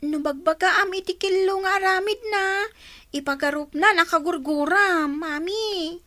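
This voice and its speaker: Audio drama role: earnest young daughter acted by a woman, extremely high girlish pitch, quick to reproach. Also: bright, forceful tone, no echo. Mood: complaining. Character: young daughter defending herself to her mother.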